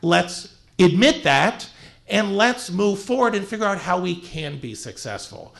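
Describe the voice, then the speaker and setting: Guy hosting a community event, super gravelly voice, kinda high, welcoming the speaker. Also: lively intonation, a little slow, fairly bright tone. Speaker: event host introducing the speaker. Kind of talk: formal introduction.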